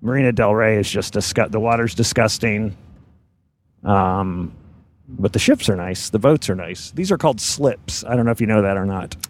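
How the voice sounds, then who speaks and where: A man's voice: lowish voice, normal speed, a little hiss or hum. A man from Indiana hosting a podcast, chatting casually and teasing his guest while riding in a car. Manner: laughs often, teasing. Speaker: podcast host. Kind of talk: casual chat. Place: inside a moving car.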